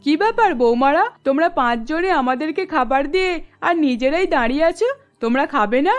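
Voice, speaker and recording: A woman acts an older village matriarch with a high voice, loving and motherly. She speaks emphatically in a cartoon family drama, with faint music behind. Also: lively intonation, a little fast. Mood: tender. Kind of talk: Bengali cartoon voice acting. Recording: background music under voice.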